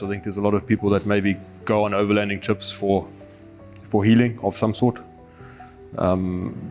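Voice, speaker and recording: Low, even-toned Zimbabwean man over music. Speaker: adventurer and overlander, interviewee. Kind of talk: reflective documentary interview.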